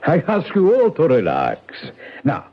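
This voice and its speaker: A man playing a smug, sinister dictator with a breathy, mock-reassuring manner in a 1970s radio drama. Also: on the high side, huge pitch swings, unhurried, exaggerated voice. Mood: mock-soothing. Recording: muffled old radio with faint music.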